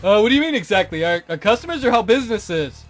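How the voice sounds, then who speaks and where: A man's voice: high-pitched, big pitch swings, fast, faint music behind. A man voicing a defensive, jittery male nightclub owner in a visual novel, hesitant and emphatic in his delivery. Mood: reluctant, hesitant.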